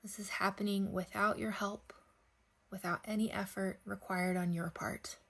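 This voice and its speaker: A woman leading a guided sleep meditation, speaking gently in unhurried phrases with long pauses. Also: mid-range voice, flat delivery, vocal fry.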